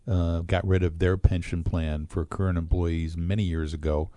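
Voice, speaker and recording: A man co-hosting a retirement radio show, explaining pension plans and interest rates in a measured way with frequent fillers. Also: low-pitched, level tone, dark tone, no room sound. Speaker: financial advisor co-host. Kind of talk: radio talk show discussion.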